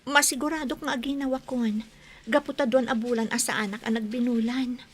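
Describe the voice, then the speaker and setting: A high-voiced, earnest young woman in an Ilocano radio drama. She sounds wounded yet proud, with an Ilocano Filipino accent. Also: fairly even intonation, brisk, husky. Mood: hesitant.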